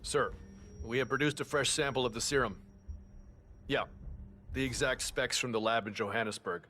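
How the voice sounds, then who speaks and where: A man's voice: medium pitch, steady tone, moderate pace, pushed and bright, slight background noise, natural voice. An actor playing a dutiful male scientist in a video game, reporting formally and deferentially. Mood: businesslike.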